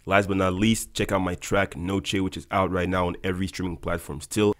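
A man's voice: sits low, flat delivery, a little fast. A young man, an airline pilot, narrating a scripted voice-over in an upbeat, explanatory way to his viewers. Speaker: airline pilot and video host. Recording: voice-over with loud background music.

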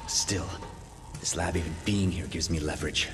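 A resolute game survivor, lowish and muttering, in a long reverb. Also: male voice, steady tone, moderate pace, gravelly. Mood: brooding. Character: determined, guarded male zombie-apocalypse survivor. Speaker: voice actor. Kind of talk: video game cutscene acting. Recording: reverberant hall, rumbling background noise.

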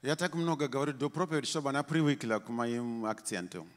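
Ukrainian-accented pastor preaching in short phrases. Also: male voice, medium pitch. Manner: speaks in short phrases, pausing for translation, chuckling often. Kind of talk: sermon through an interpreter. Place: large church stage. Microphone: handheld mic. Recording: long reverb of a large hall.

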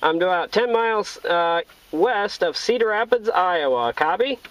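High-pitched American man on CB radio. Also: lively intonation, unhurried, a bit bright. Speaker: CB radio operator. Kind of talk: casual CB radio chat with jargon. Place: inside a parked or mobile vehicle. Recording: dull, lo-fi in-vehicle sound.

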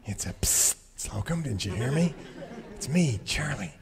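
A huge doofus of a male henchman in a radio comedy. He is obliging and slow on the uptake, his mid-pitched voice lurching up and down. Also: very animated, creaky. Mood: cheerfully dim. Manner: doltish, eager to please. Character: hulking, dim-witted, obliging henchman. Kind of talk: parody of old radio serial acting.

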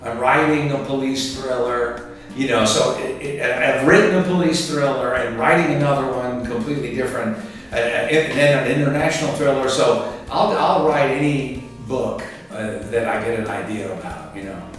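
A man in his sixties giving casual interview answers in a rambling, halting way, often repeating words. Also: medium pitch. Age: 60s. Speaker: author and martial arts instructor being interviewed. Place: indoor room by a curtained window. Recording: echoey room, distant mic, music underneath. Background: background music.

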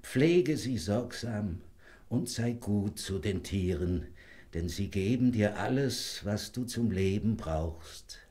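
An actor playing a frail old dying father, gentle, solemn and deliberate, in a fairy-tale audio drama. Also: on the low side, animated. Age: older.

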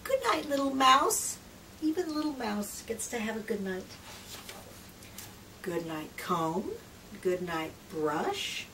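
A middle-aged woman reads a picture book aloud to small children. Her delivery is slow, gentle and expressive, with drawn-out phrases and soft hushed moments. She is recorded at a distance from the microphone, with clear room noise behind her. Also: on the high side. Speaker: children's read-aloud presenter and trainer. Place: small indoor room lined with picture books. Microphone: far camera microphone.

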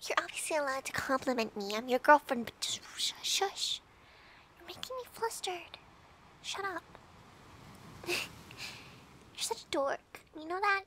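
A woman playing a bratty but affectionate, easily flustered young girlfriend in an intimate ASMR roleplay, pouty and teasing, often dropping to breathy murmurs. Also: high-pitched, lively intonation, measured pace, long pauses, sharp tone, a little hiss or hum, full character voice.

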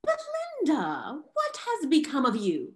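A woman playing a poised, frank young gentlewoman who gossips, in a stagey Restoration comedy reading. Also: sits high, huge pitch swings, a little slow, heavily acted. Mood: poised, curious. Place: indoor home room on a video call.

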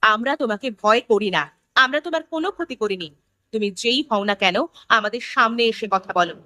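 A woman's voice: a little high, a little fast, pushed and bright, dry recording, studio quality. A woman playing a fearless, level-headed young village daughter in a horror cartoon, firm and questioning. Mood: bold. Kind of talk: animated horror story acting.

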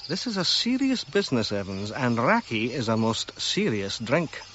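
An actor playing a keen, self-assured archaeologist in a radio drama, talking in a brisk, informal, hearty way. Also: male voice, medium pitch, big pitch swings, fairly bright tone, highs cut off, slight background noise. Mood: hearty, persuasive.

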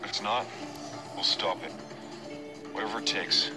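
A man's voice: on the low side, moderate pace, over loud music, natural voice. An actor playing an earnest, determined young male action hero in a dramatic survival horror video game.

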